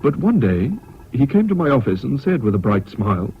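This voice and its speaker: An actor playing a sober army officer narrating in a measured, matter-of-fact way in a 1970s radio drama. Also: male voice, mid-range voice, sing-song. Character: sober English army officer recounting events. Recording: dull, noisy old radio recording.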